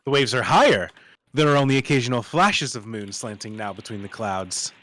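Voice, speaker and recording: A man's voice: mid-range voice, expressive, fairly quick, a bit bright. A man reading screenplay stage directions aloud as the narrator of a table read.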